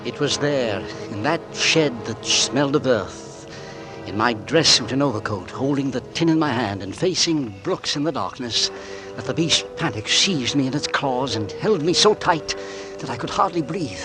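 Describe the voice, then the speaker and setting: An actor playing a married English gentleman who fears poisoning, speaking formally and deliberately in 1940s radio suspense style. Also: medium pitch, expressive, over music, close to an everyday voice. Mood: haunted narration. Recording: noisy vintage radio drama recording.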